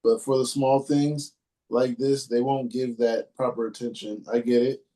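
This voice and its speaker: Male host, mid-range and mellow, laid-back reader. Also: mid-range voice. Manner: laid-back, reads in a halting steady way. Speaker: podcast host. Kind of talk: casual chat and reading a listener post aloud.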